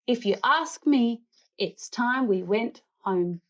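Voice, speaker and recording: Woman voicing an eager high-pitched young boy. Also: lively intonation, slow pace. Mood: decided.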